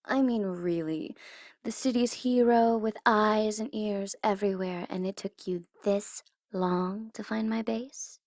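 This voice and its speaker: Smug female supervillain for ASMR roleplay, played by a woman: highish voice, unhurried, theatrical taunts, teasing. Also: dry recording, clean studio sound. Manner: taunting, theatrical, teasing, drops into breathy whispers. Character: smug tsundere female supervillain gloating over her captive hero. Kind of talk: ASMR audio roleplay, theatrical villain monologue.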